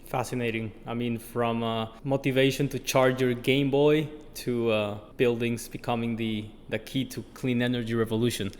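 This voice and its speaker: A man hosting a podcast interview, mid-pitched and unhurried. He asks long questions with plenty of "uh" fillers. His voice carries a long reverb tail. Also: flat delivery, a little hiss or hum. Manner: measured, many "uh" fillers when asking. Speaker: podcast host. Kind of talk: scripted intro then interview questions.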